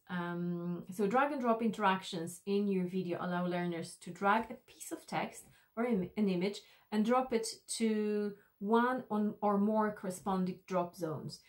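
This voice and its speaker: A woman in her thirties narrating an instructional tutorial as an e-learning instructor, explaining things directly to the viewer. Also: mid-range voice.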